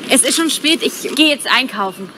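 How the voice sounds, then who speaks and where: A woman in her twenties playing a friendly young art student in a scripted exam dialogue, speaking clearly and deliberately, with street traffic behind her. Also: sits high, lively intonation. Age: 20s. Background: car traffic.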